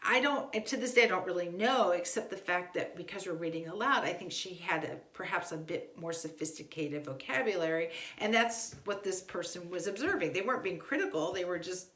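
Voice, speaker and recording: A middle-aged woman with a fairly low voice talks as an instructor in an informal, conversational way. She repeats words for emphasis. The recording is clearly roomy and echoey. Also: lively intonation, fairly quick, hardly any pauses, a bit bright. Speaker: homeschool instructor.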